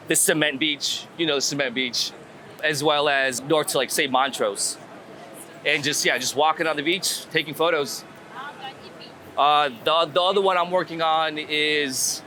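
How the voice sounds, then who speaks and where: Bright-voiced man chatting casually on the street. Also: fairly high pitch. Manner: halting, thinking aloud, repeats words. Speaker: street photographer guest. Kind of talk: casual walk-and-talk interview. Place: outdoors on a city street. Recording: outdoor street recording with city traffic noise. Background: urban ambience and vehicles.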